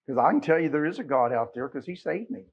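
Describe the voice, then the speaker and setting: A middle-aged man with an American accent preaching a sermon in a folksy, conversational way, leaning on emphatic rhetorical questions, with a husky voice. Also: fairly high pitch, very animated, fast talker, muffled recording. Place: church sanctuary, at a pulpit.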